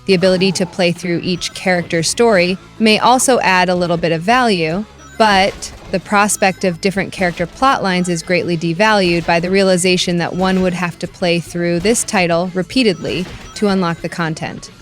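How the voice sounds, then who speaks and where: A woman's voice: on the low side, fairly even intonation, normal speed, soft background music. A woman reading a scripted video game review in a dry, critical, wry manner.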